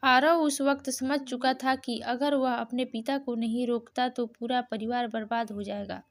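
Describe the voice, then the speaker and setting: A woman's voice: a little high, steady tone, unhurried. A woman narrating a scripted moral story as the storyteller.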